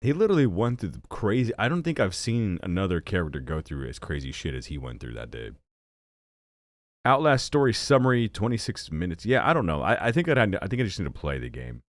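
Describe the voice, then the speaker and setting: A man's voice: brisk, dry recording. A young man streaming games and chatting casually, rambling and joking at his own expense, with a stuffy nose and hiccups. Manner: rambling, self-mocking, animated with sudden jumps in pitch. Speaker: streamer and gaming channel host. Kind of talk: casual live-stream chat.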